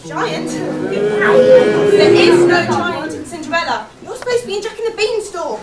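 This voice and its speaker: A woman's voice: extremely high pitch, expressive, unhurried, music in the background, full character voice. A woman playing a chirpy young male servant who narrates a pantomime, declaiming to the audience in amateur stage style. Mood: indignant. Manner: bright, declamatory, addressing the audience directly. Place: theatre or hall stage with audience. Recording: echoey hall, distant mic, audience noise.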